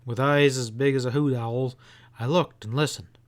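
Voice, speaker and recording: A man reading an audiobook as an expressive first-person narrator with a folksy storytelling manner. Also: medium pitch, normal speed. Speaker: audiobook reader.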